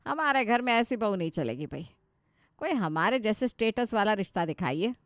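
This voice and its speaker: A woman's voice: medium pitch, big pitch swings, normal speed, no room sound, lightly acted. A woman acts out a bossy Indian mother from a family storybook. She is middle-aged, firm and scolding, and pushes every word with emphasis. The sound is very dry. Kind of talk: animated storybook dialogue.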